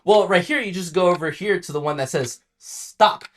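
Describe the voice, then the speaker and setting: A man's voice: sits high, normal speed, fairly bright tone, a little hiss or hum. A man playing a cheeky, teasing cartoon fox with a casual, bouncy manner in a radio drama.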